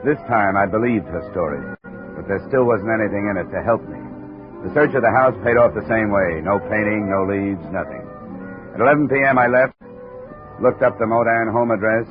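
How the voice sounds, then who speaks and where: An actor playing a tough, wry insurance investigator in a 1950s radio detective show, with dry, clipped speech. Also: male voice, fairly low pitch, normal speed, close to an everyday voice. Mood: dry, narrating. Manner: dry, clipped, hard-boiled. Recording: muffled old radio recording, music behind.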